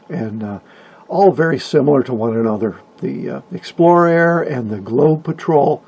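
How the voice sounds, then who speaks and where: Older male hobbyist narrator, gravelly and dark-toned, wry and folksy, reading informal video voice-over. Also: medium pitch, dull audio. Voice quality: husky, gravelly. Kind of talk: informal scripted narration about vintage radios.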